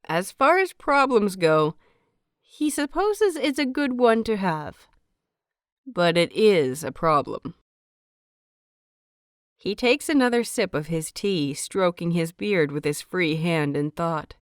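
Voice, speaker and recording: A woman reading scripted fiction as an audiobook narrator, with measured, expressive phrasing. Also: on the low side, no room sound, studio quality.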